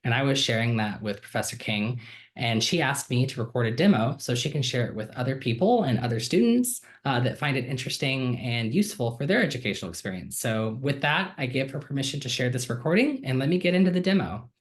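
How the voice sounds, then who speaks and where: A man talks casually into his computer mic while sharing his screen. He sounds friendly and upbeat and keeps filling pauses with ums and uhs. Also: mid-range voice, animated. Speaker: student giving a software demo. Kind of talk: casual screen-share walkthrough.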